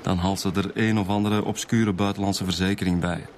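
An actor playing a matter-of-fact, wryly teasing police detective with a Flemish accent in a radio drama. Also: male voice, low-pitched, flat delivery, fairly quick, a little hiss or hum. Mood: informative.

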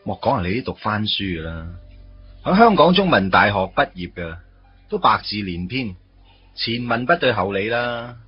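An actor playing a wry, teasing office worker in a Cantonese radio drama, with a Hong Kong accent and a casual, grumbling delivery. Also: male voice, lowish voice, sing-song, normal speed, muffled recording, soft background music. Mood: casual, chatty. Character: wry, teasing male office worker meeting his first love.

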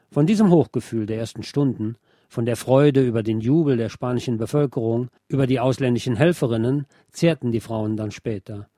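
Ein Mann, der als Sprecher einer Radiosendung einen literarischen Sachtext auf Deutsch mit deutschem Akzent vorliest. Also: male voice, medium pitch, dark tone, no echo, studio quality. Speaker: radio programme presenter reading a book chapter. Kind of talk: scripted narration, literary reading.

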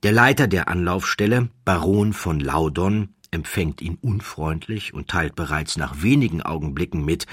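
A man with a very deep voice narrates a literary story. His tone is husky and rough-edged, recorded very dry and close. He tells it in a measured, deliberate way, as a scripted audiobook reading. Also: no echo.

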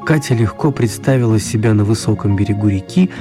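A man narrating a children's radio story as a wry storyteller, expressive and mock-serious, with deliberate pauses. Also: fairly low pitch, music in the background, close to an everyday voice.

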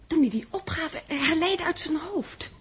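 An actress playing an eager, inquisitive female assistant in a 1960s radio drama, with an animated, questioning manner. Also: extremely high pitch, unhurried. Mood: curious.

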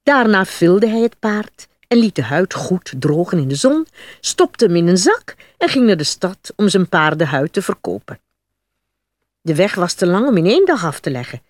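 A woman's voice: lowish voice, sing-song, moderate pace, dry recording. A woman narrating a fairy tale from a script as a storyteller.

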